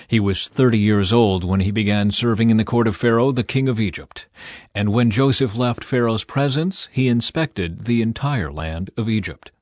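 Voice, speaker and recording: A man's voice: medium pitch, dry recording. Man narrating scripture, very dry close sound. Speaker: audiobook narrator.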